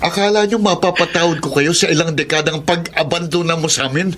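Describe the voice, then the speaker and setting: Man acting a bitter, high-pitched Filipino eldest son. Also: steady tone, a little fast, a bit bright, close to an everyday voice. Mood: bitter, angry. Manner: cold, confrontational. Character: bitter, unforgiving eldest son, a proud engineer. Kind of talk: Tagalog radio drama acting.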